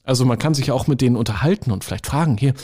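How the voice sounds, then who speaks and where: Chatty man, mid-pitched. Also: racing, dark tone, husky. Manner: chatty, enthusiastic, frequent fillers. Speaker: podcast host. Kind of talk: casual podcast chat.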